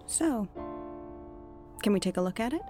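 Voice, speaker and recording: An actress playing a shrewd, insistent soothsayer of Puritan times in an audio drama, articulate and wryly needling. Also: female voice, medium pitch, animated, normal speed, over music, natural voice. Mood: probing, sly. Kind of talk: period audio drama acting.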